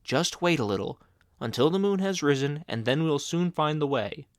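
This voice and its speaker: A man reading aloud as a brave young boy who calmly comforts his little sister. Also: fairly high pitch, moderate pace, no echo, clean studio sound, natural voice. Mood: comforting. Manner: calm, reassuring.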